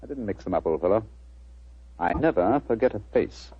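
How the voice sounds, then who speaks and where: An actor in a 1940s radio drama playing a brisk, shrewd gentleman detective with an English accent and a formal, theatrical manner. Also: lowish voice, animated, slightly rough, dry recording. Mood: wry, shrewd. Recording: dull, dry old radio recording.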